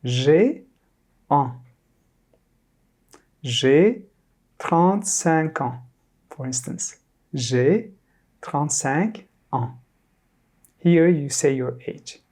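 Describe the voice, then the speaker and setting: Very slow, mellow-voiced language teacher. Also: mid-range voice, expressive. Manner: models each word clearly, then repeats it. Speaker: French teacher. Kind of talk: slow repeat-after-me language lesson.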